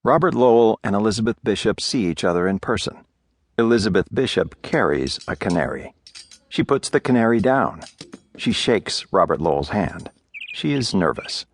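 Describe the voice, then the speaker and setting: The narrator of a radio drama. His voice is on the low side with varied intonation. He sets out scripted stage directions in a measured, observant way. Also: very animated.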